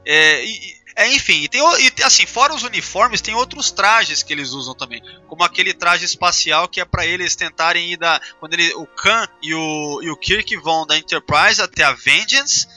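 High, bright-voiced Brazilian man chatting. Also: animated, moderate pace, hardly any pauses, faint music behind. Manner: informal, talkative, slangy and sweary. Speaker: podcast host. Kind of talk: casual chat, film discussion.

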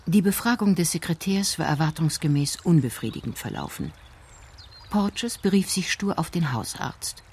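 Low-voiced woman narrating radio drama. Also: sing-song, moderate pace, darkish tone, breathy edge.

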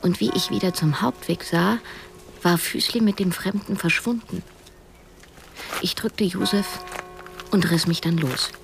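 A wistful woman storyteller in a radio drama. Her voice sits a little low, measured and reflective, with music clearly heard behind it. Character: reflective woman narrator recalling events, wistful. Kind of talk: literary radio drama narration.

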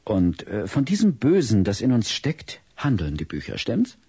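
Kindly, patient psychologist for children's radio play: husky, expressive, close dry sound. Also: male voice, on the low side, moderate pace, no room sound, heavily acted. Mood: gently probing. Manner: gently probing, explanatory. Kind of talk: audio-drama acting.

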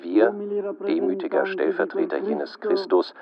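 An actor playing a solemn, grandiose Pope who declaims a ceremonial broadcast speech in a radio play. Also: male voice, high voice, big pitch swings, unhurried, vocal fry, dull audio, background noise, exaggerated voice.

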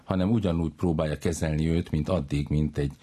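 A man talking as a psychologist in an interview, explaining in long, winding, deliberate sentences. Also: very deep voice, steady tone, warm tone, slightly rough.

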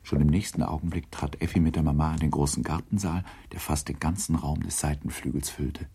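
A man acting as the composed narrator of a literary radio play, reading in a measured way with a low, husky voice. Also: fairly even intonation, warm tone, heavily acted. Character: composed male narrator of a nineteenth-century novel.

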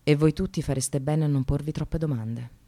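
An actress playing a bitter, wry, educated receptionist in precarious work, delivering an expressive theatrical radio monologue. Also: female voice, deep voice, moderate pace. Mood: bitter, reflective. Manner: sardonic, expressive, swearing, addressing the audience.

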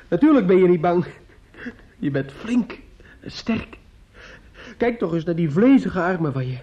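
A man acting a bitter, menacing nephew in a radio play, halting and repetitive, sneering and insistent. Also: sits high, warm tone. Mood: agitated, forceful. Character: bitter, menacing, grief-stricken nephew taunting his aunt. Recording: muffled, dull old radio recording.

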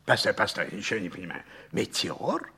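An actor playing a jovial, excitable banker and fond godfather in a 1960s Soviet radio play, theatrical and full of exclamations, with a husky voice. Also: on the low side, fairly quick, full character voice. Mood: incredulous. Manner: theatrical, exclaiming, chuckling, swooping between murmurs and cries.

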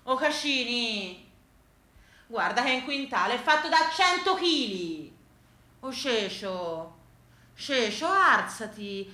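Tuscan actress for folk monologue, colloquial and dramatic, sharp highish voice. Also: female voice, slow, long pauses. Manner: colloquial, animated delivery with dramatic repetitions. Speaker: stage actress and storyteller. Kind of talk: theatrical monologue of rural folk tales. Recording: roomy, echoing space.

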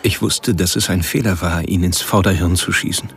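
An actor playing a cold, erudite serial killer in a radio drama monologue, pedantic and measured, addressing the listener directly. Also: male voice, low-pitched, fairly even intonation. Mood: smug, detached. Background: music.

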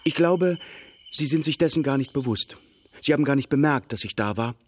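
A man's voice: medium pitch, brisk, slight background noise, close to an everyday voice. An actor playing a charming, light-hearted artist, courteous and ardent as he courts his cousin in a radio drama. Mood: earnest, pleading.

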